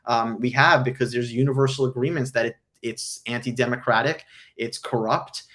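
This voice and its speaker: A man hosting a casual livestream, answering viewer questions with frequent ums, his voice hoarse from illness. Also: medium pitch, fairly even intonation, moderate pace, a bit bright. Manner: frequent ums, earnest explanatory.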